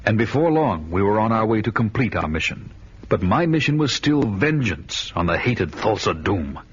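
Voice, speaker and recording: Grim, solemn barbarian warrior, muffled radio sound. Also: male voice, on the low side, big pitch swings, normal speed, husky, full character voice. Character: grim, vengeful barbarian warrior telling his own saga. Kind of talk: dramatic first-person radio narration and acting. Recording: dull lo-fi radio recording, loud music behind.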